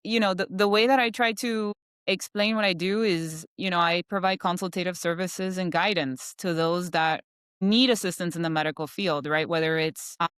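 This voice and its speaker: A chatty woman guest with a smooth, clear voice, recorded close and dry with no room sound. She talks easily and loosely, peppering her sentences with filler words. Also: on the low side, fairly even intonation, normal speed, a bit bright, dry recording. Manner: chatty, many "you know" fillers. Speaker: guest, insurance professional. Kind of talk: casual interview chat.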